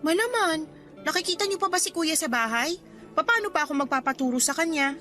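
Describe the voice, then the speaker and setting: A woman playing a dutiful teenage schoolgirl with a Filipino accent in a radio drama, polite but defensive and quietly resentful. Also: high voice, expressive, a little fast, soft background music. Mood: defensive, sulky. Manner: polite, defensive, subdued sulking.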